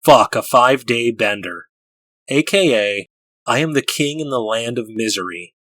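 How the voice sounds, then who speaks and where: A man's voice: medium pitch, animated, normal speed, bright, forceful tone, dry recording, low-quality recording. A man reading scripted audiobook narration in a dramatic style.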